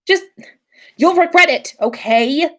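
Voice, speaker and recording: A haughty pureblood schoolgirl acted out by a woman narrator from a fantasy story. She is snobbish and indignant, spluttering when flustered. Her pitch jumps high and swings widely. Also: animated, slow, a bit bright, heavily acted. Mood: threatening.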